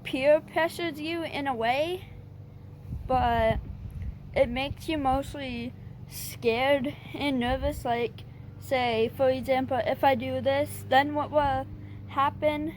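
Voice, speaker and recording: Woman vlogger, high voice, slow and halting, stutters and restarts, casual advice. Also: fairly even intonation, slight background noise. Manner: hesitant and plain-spoken.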